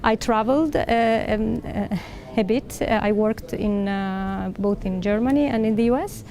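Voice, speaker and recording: A woman's voice: mid-range voice, unhurried, mellow, a little hiss or hum. A middle-aged woman from Italy, a professor speaking on a panel in a large hall, hesitant with many fillers and restarts. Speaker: professor and dean. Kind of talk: answering questions on a panel. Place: large library hall indoors.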